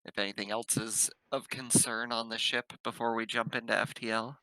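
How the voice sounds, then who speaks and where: Male tabletop gamer, intensely bright tone, relaxed chatty roleplay, dry close recording. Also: medium pitch, a little fast, dry recording, low-quality recording. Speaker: player in a tabletop roleplaying game. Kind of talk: casual roleplay narration and chat.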